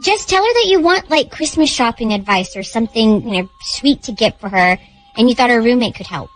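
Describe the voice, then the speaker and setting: Fairly high-voiced female co-host. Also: animated, brisk, soft background music, poor audio. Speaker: radio co-host. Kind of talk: casual radio banter.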